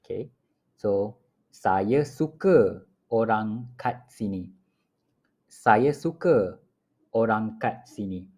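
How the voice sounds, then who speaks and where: A man teaching phrases at home, repeating each one slowly and clearly for learners in a patient lesson style. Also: on the low side, expressive, a little mellow. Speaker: language teacher. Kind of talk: slow, repetitive phrase-by-phrase teaching. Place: home apartment.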